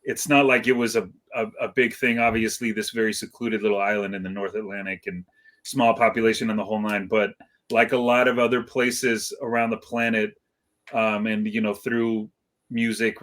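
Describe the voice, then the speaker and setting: A man's voice: on the low side, level tone, moderate pace, a bit bright. A middle-aged man from Iceland who moved to California young, chatting as an interview guest in a hesitant, reflective, slangy and rambling way. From Iceland, moved to California at seven. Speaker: guest, hip hop DJ. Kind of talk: casual interview chat.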